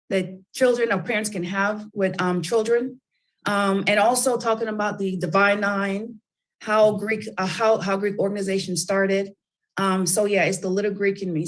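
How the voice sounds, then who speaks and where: A middle-aged woman, a children's book author, talking as a guest in a radio interview, chatty and full of fillers and restarts. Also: mid-range voice.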